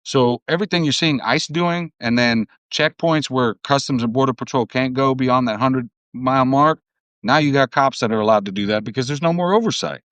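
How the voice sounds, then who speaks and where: A man's voice: medium pitch, brisk, fairly bright tone, smooth, dry recording. A man from New Jersey explains the news earnestly, leaning on "you know" as he goes. His voice sits in the middle range and sounds dry and close to the mic. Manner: earnest, explanatory, filler-heavy. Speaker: podcast co-host, journalist. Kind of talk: casual political talk.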